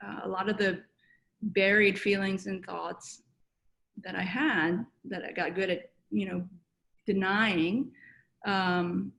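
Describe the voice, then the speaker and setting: A woman psychotherapist from South Korea, answering interview questions thoughtfully over a video call, with many pauses and fillers. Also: medium pitch, gravelly, a bit bright, low-quality recording. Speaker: guest psychotherapist. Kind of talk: reflective personal interview answers.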